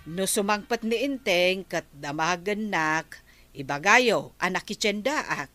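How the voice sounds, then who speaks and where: A woman voicing the worried wife of a village captain in a radio comedy drama, speaking in a slow, plaintive way. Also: fairly low pitch, animated, pushed and bright, faint music behind. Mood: scolding.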